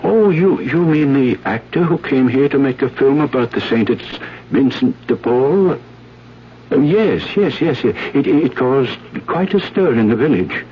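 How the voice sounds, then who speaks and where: Through a muffled old radio recording comes a slow-speaking village priest. He is fussy and stern, with hesitant mutters and wide swings of pitch, in classic radio play style. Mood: flustered. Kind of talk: 1970s radio drama acting. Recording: dull, noisy 1970s radio broadcast.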